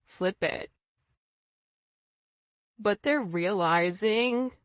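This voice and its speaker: A woman's voice: medium pitch, no room sound, highs cut off. A woman giving an informal tarot reading straight to her viewers, speaking emphatically and conversationally with rhetorical questions. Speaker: tarot reader, channel host.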